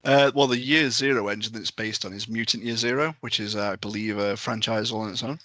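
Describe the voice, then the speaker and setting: A man's voice: fairly low pitch, steady tone, quick pace, pushed and bright, no echo. A man talking casually as a guest on a podcast. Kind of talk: casual chat.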